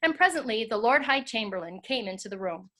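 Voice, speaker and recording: A woman's voice: a little high, animated, fairly quick, fairly bright tone. A woman with an American accent hosting and narrating a storybook tale. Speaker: host and narrator, school teacher.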